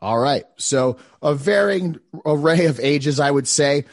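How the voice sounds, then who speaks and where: A man's voice: a little high, expressive, a little slow, no room sound, clean studio sound. A man in his late thirties hosting a podcast, talking intensely and swearing for emphasis. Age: 30s. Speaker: podcast host. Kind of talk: motivational podcast talk.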